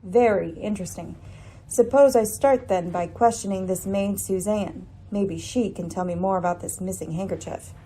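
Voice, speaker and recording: A woman's voice: mid-range voice, normal speed, close to an everyday voice, low-quality recording. A woman playing a shrewd, composed lady detective in a radio mystery play, speaking with poised, formal, dry irony. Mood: cool, probing. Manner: poised, formal, dryly pointed. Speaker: actor in an amateur radio play. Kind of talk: radio mystery drama acting.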